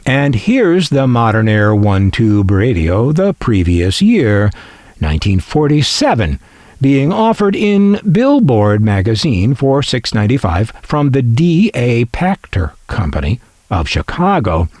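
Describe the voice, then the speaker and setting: Male narrator, slow-paced and expressive, wry and folksy, close dry sound. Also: medium pitch, dry recording. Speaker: collector and narrator. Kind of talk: scripted narration.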